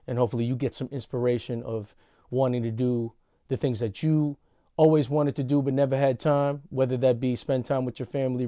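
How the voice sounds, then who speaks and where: A man's voice: medium pitch, no room sound, studio quality. A man, talking casually in a vlog monologue and often saying "y'all". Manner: relaxed, conversational, says "y'all" often. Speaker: podcast host and referee.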